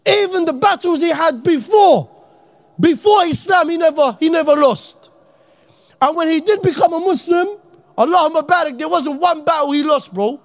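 A man from Iraq, giving an impassioned, slang-heavy talk to young people as an Islamic speaker and often shouting. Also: extremely high pitch, normal speed. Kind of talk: impassioned motivational talk to youth.

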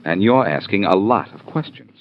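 An actor playing a cocky, teasing adventurer hero in a 1940s radio crime drama. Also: male voice, fairly low pitch, lively intonation, moderate pace, natural voice. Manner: wry, teasing, unruffled. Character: cocky, smooth-talking adventurer hero, playfully defiant. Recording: muffled, hissy 1940s radio recording.

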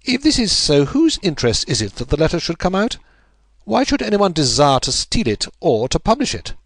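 A man reading aloud, voicing a sharp, precise detective who questions firmly and speaks in clipped phrases. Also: a little high, wildly swooping pitch, a little fast, dry recording, clean studio sound, voice pushed up. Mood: insistent.

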